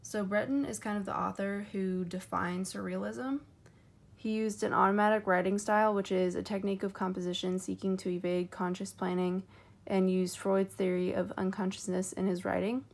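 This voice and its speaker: A woman's voice: mid-range voice, level tone, normal speed, darkish tone. A young woman giving a class presentation as a student, hesitant and hedging with many ums. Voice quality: gravelly.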